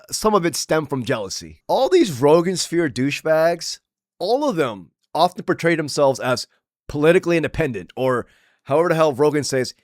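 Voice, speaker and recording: A man's voice: fairly high pitch, no echo, studio quality. A young man from Maryland giving blunt, slangy political commentary while losing his voice. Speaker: commentary channel host.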